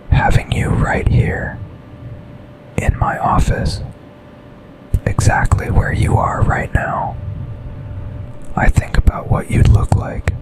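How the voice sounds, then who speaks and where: A man playing a smitten, commanding yet tender boss and secret lover in an intimate ASMR roleplay, speaking in a breathy, seductive whisper. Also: close to an everyday voice, poor audio. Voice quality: breathy, almost entirely unvoiced whisper. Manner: slow, deliberate, seductive, intimate.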